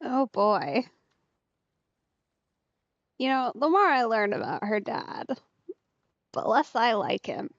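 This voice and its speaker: A woman's voice: fairly high pitch, very animated, slow pace, long pauses, dry recording, highs cut off. A woman gaming streamer giving casual, wry let's play commentary, reacting aloud to the story.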